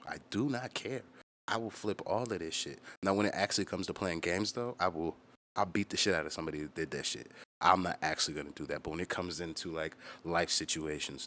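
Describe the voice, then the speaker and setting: A man's voice: on the low side, bright, forceful tone. A man hosting a channel, telling stories casually and bluntly, with plenty of slang and swearing. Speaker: host of a music storytelling channel. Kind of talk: casual, profane storytelling to viewers.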